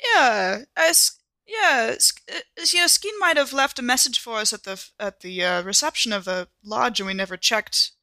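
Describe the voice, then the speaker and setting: Female tabletop player, bright forceful tone, wry improvised chat, dry studio-close sound. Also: a little high, animated, moderate pace, dry recording. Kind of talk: casual in-character improvised chat.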